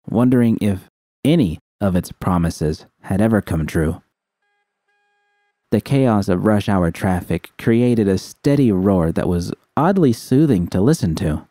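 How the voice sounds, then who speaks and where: Male narrator for first-person fiction. Very low, soft dark tone, dry sound. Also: no room sound. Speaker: audiobook reader of fan fiction.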